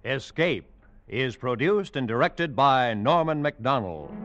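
A man as a formal radio announcer reading credits and promotions in a crisp, measured way. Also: mid-range voice, big pitch swings, music in the background. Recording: muffled old radio broadcast.

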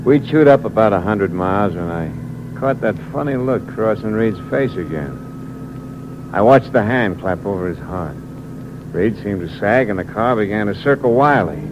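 A man's voice: lowish voice, animated, moderate pace, natural voice. A man acting a cynical, hard-boiled American drifter who narrates his crime in terse, wry 1940s radio noir style. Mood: brooding. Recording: muffled, noisy old radio recording.

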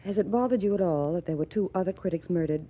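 An actress playing a bitter, self-possessed widow in 1940s radio style, earnest, dramatic and husky. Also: female voice, on the low side, fast. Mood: bitter, confiding. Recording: muffled 1940s radio recording.

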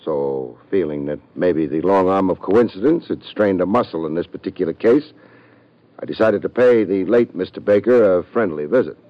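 A wisecracking hard-boiled detective. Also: male voice, low-pitched, lively intonation, normal speed. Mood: brooding. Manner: dry, wisecracking. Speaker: narrator. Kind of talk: 1940s radio drama acting. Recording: muffled old radio recording.

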